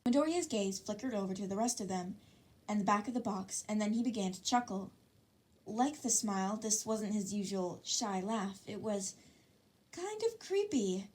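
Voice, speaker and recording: A woman reads fan fiction aloud as narrator. Her voice sits at a medium pitch and her storytelling is expressive.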